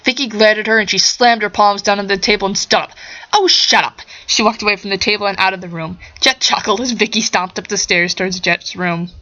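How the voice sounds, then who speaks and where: A woman's voice: medium pitch, steady tone, fast, few pauses, pushed and bright, highs cut off. A woman reading amateur fiction aloud and talking casually to her listeners. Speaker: amateur audio fiction narrator. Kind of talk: story narration and casual talk to listeners.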